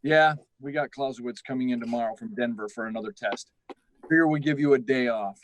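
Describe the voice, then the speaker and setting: A man's voice: medium pitch, fairly quick, slight background noise, close to an everyday voice, low-quality recording. A man playing an anxious, urgent security officer at an air base in a radio drama. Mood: reassuring. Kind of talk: live radio drama acting.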